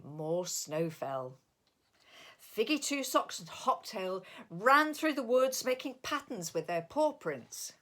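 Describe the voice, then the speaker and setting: Slow, very animated woman reading a storybook. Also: mid-range voice. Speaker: author reading own picture book. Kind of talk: expressive storybook narration for young children.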